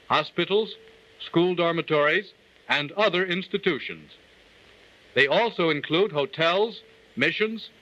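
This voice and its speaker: A man delivering formal, measured scripted narration in the manner of a 1940s instructional film. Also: on the high side, pushed and bright. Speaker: narrator of a government training film. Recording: dull, lo-fi 1940 film soundtrack.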